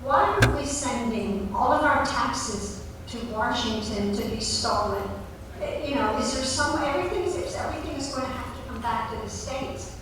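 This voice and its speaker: A woman's voice: a little high, moderate pace. A woman in the audience asking a question, impassioned and rambling. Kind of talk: question from the floor. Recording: echoey hall from far off with noise.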